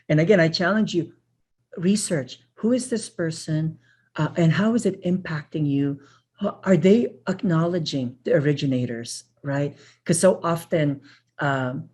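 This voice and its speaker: A woman from the Philippines with a low voice hosts a webinar over a video call. She speaks in a casual, appreciative way, thanking people again and again and filling pauses with "uh" as she goes. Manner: warm, grateful, many fillers and thank-yous. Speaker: webinar presenter. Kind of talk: live webinar wrap-up.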